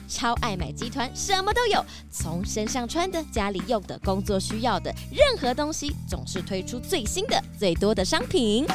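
A woman's voice: on the high side, very animated, normal speed, few pauses, over music. A woman with a Taiwanese Mandarin accent voicing a vain, gushing pelican business tycoon in a children's detective radio play. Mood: smug, boastful. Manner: boastful, self-satisfied, salesy.

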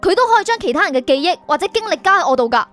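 A woman plays a bewildered but defiant young fugitive in a Hong Kong Cantonese radio drama. Her voice is very high and she talks quickly and insistently, with faint music behind her. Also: very animated, fairly bright tone, heavily acted. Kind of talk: radio drama acting and first-person narration.

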